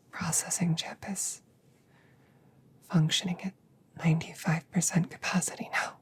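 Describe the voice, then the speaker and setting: Woman acting as a whispering, low-voiced android soldier girl. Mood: gentle, reassuring. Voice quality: breathy whisper. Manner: slow, measured, formal, reassuring phrasing. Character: guilt-ridden, protective female android sharpshooter, soft-spoken and soothing. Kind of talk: scripted roleplay audio drama for relaxation.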